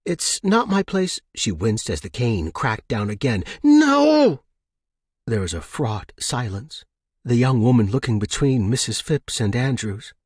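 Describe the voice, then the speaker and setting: A man reads suspense fiction as an audiobook narrator. His delivery is slow, measured and dramatic, with a slightly husky edge. The recording is dry, with no room sound. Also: fairly low pitch, darkish tone, no room sound.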